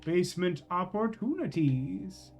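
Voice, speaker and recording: Guy streaming a game w a pretty high voice, rambly, talking to himself, music behind him. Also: animated, measured pace, darkish tone. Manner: rambling, musing, self-questioning. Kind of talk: casual live gameplay commentary, thinking aloud.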